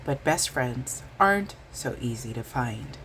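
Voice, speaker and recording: A woman voicing a big, gentle, sleepy male bear in a bedtime storybook, speaking tenderly. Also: extremely low pitch, lively intonation, unhurried, a little hiss or hum, exaggerated voice, low-quality recording.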